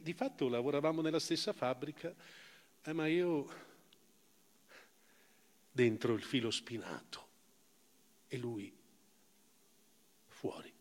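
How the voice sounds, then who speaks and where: A man giving a dramatic first-person literary reading on stage, theatrical, with emphatic rises and hushed phrase endings. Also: medium pitch. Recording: reverberant hall, live stage reading.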